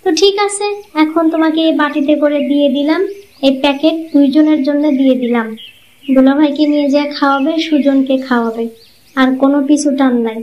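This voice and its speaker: Cartoon actress playing a selfish young daughter-in-law; high, talkative, pert, on a muffled track. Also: female voice, fairly even intonation, brisk, natural voice. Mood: insistent, fussing. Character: self-centred, chatty young daughter-in-law. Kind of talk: cartoon fairy-tale voice acting. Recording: muffled lo-fi audio, clear background noise.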